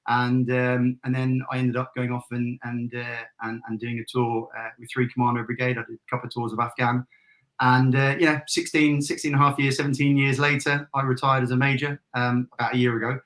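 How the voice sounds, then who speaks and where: A man's voice: medium pitch, little pitch movement, fairly quick, a bit bright, rough recording. A man in his forties with a British accent and a faint Irish tinge, chatting as an interview guest about his life. He talks in a casual, self-deprecating way, laughs often and restarts his sentences. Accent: British, with a trace of Irish. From England. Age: 40s. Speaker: guest, retired army major and business founder.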